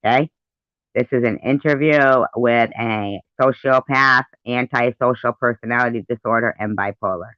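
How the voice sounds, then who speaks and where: A man's voice: medium pitch, moderate pace, pushed and bright, dry recording. Man in dry, phone-like sound, indignant. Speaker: host of a commentary stream. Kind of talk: casual commentary, reacting to played audio.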